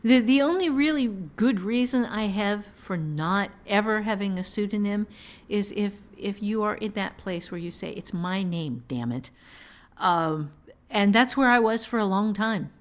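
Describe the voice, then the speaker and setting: A woman's voice: mid-range voice, expressive, a little slow. A woman hosting a casual podcast conversation about writing, speaking frankly with the odd mild swear. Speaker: podcast host and fiction writer.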